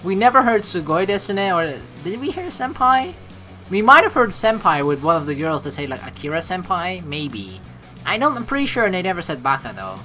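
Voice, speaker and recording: A woman giving casual, excitable gameplay commentary in an informal way, swearing freely. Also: fairly low pitch, fairly quick, soft background music. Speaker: let's play streamer. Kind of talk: casual gameplay commentary, reading game text aloud.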